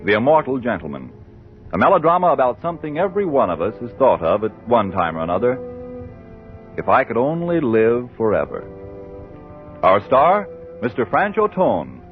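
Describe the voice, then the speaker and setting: A man's voice: medium pitch, big pitch swings, moderate pace, soft background music. A man announcing a radio drama in a theatrical, emphatic, scripted 1940s manner. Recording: thin, phone-like old radio recording.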